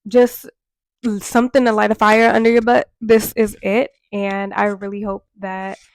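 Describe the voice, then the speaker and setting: A woman in her mid-twenties talks straight to camera, a bit nasal and worn out from a cold. Her delivery is earnest and chatty, full of fillers, and stays fairly flat in pitch. The recording is close and dry, with no room sound. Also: a little slow, no echo. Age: 20s. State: congested from a cold, tired. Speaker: vlogger. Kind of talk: casual talk to camera. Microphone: microphone.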